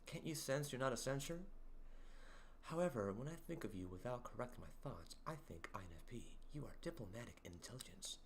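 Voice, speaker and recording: A young man hosting a casual livestream, reading chat aloud and joking around, with a deep voice. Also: expressive, a little fast, slight background noise. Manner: playful, jokey, sings snippets and reads chat aloud. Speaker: livestream host.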